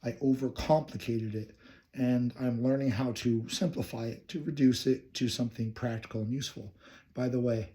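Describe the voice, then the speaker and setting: A young man with a hoarse voice from laryngitis, talking casually and reflectively to the camera, rambling and correcting himself as he goes. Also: medium pitch, steady tone, normal speed, mellow. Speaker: counseling student. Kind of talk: casual personal talk to camera.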